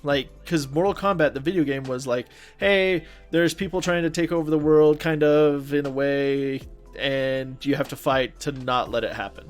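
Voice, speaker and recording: A man's voice: fairly high pitch, steady tone, normal speed. Enthusiastic man chatting over music. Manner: enthusiastic, emphatic. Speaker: podcast co-host. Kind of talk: casual chat about films and games.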